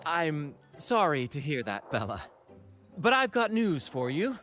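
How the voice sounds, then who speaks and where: Brant, a swaggering stage performer. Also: male voice, fairly high pitch, very animated, measured pace, fairly bright tone. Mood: charming. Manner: flamboyant, grandiose. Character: swashbuckling theatrical male performer, charming and bold. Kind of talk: video game voice acting. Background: game music.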